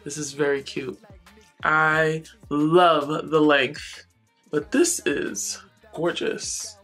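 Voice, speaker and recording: Thirties woman, beauty vlogger, casual and playful, husky tone over faint music. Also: sits low, extremely slow. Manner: chatty, playful, excitable. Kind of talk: casual wig tutorial and chat. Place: bedroom with shelves, indoors.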